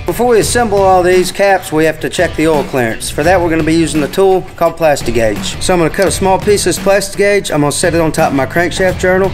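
Man, middle-aged, American accent, higher-pitched, plain-spoken, step-by-step workshop tutorial, music in background. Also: animated, fast talker, few pauses. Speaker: diesel mechanic presenting a tutorial. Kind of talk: step-by-step how-to explanation. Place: large indoor heavy equipment workshop.

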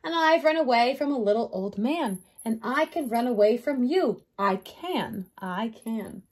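A woman's voice: on the high side, expressive, measured pace, husky. A woman voicing a cocky little runaway gingerbread boy from a storybook, chanting taunting boasts. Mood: boastful.

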